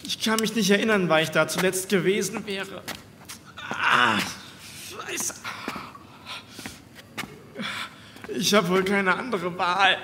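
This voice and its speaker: Man acting high-voiced trapped man, echoing cellar. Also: unhurried. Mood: pained, suspicious. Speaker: voice actor. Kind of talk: German horror audio drama acting. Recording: echoey hall reverb, faint background noise.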